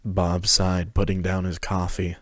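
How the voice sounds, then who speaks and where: Low voiced guy narrating a story, pretty flat, dry close sound. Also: measured pace, no echo. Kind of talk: scripted story narration, dramatic reading.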